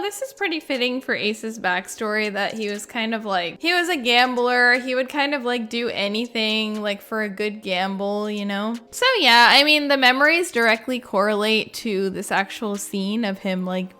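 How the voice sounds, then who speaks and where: A woman's voice: mid-range voice, pushed and bright. A woman giving informal, rambling reaction commentary, sprinkling in "like" as she goes. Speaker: video creator and game lore reviewer.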